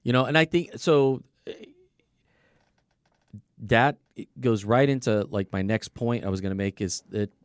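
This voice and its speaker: A man's voice: mid-range voice, a little slow, smooth, no echo, clean studio sound. A man talking as a business coach in a casual podcast conversation, explaining his points and telling anecdotes in a conversational way. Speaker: car dealership service coach.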